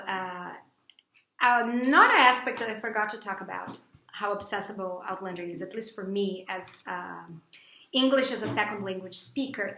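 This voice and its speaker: A woman's voice: roomy sound, low-quality recording. A Brazilian woman who speaks English as a second language with a Brazilian Portuguese accent, chatting casually with many fillers and restarts. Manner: chatty, full of fillers and restarts, bursts into high excited quotes. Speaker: English teacher and vlogger. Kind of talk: casual chat to camera.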